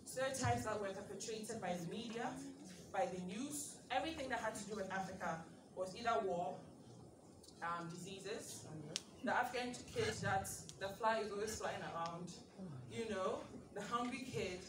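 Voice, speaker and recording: A woman from Ghana in her thirties, a TV show host giving an animated speech to an audience, with rhetorical questions and laughing asides. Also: mid-range voice, normal speed. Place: large indoor event space. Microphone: handheld mic, recorded from far in the audience. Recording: distant echoey room recording with ambient noise. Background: crowd ambience.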